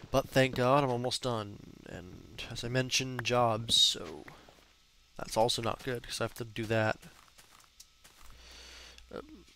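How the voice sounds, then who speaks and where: Young man, early twenties, stuffy-nosed, rambling gameplay chat with swearing and fillers, mid pitch, faint background hiss. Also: slow pace, creaky, long pauses, sharp tone. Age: young adult. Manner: chatty, cursing, rambling with fillers. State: congested, stuffy nose. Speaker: gaming channel host. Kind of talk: casual gameplay commentary.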